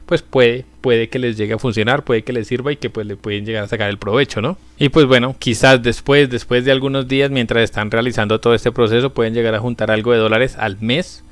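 A young man speaks in a middle, unremarkable pitch, walking through a website step by step. His delivery is casual and explanatory, sprinkled with everyday fillers. He sounds close to the mic in a small room. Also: fairly even intonation, a little fast, few pauses, no room sound. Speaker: channel host. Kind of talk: screen-recorded tutorial walkthrough.

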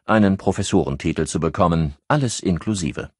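A man voicing a smug federal police officer who brushes off requests in a brisk, bureaucratic tone. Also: deep voice, slightly rough, dry recording, clean studio sound. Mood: dismissive.

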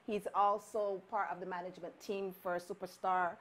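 A woman's voice: medium pitch, flat delivery, moderate pace, fairly bright tone, a little hiss or hum. Bubbly Barbadian woman hosting an interview. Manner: bubbly, admiring and chatty, with laughs. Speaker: host. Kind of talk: talk show interview. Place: indoor studio set.

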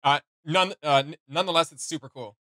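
A man's voice: fairly high pitch, brisk, sharp tone, dry recording, clean studio sound. A young man giving casual reaction commentary, hesitant as he gropes for the right words. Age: young adult. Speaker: reaction video host. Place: small home studio room.

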